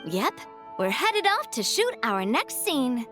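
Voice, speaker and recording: Very high-pitched, upbeat young girl. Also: big pitch swings, slow pace, exaggerated voice. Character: excitable, upbeat young female film crew member. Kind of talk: anime video game voice acting. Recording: clear music underneath. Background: background music.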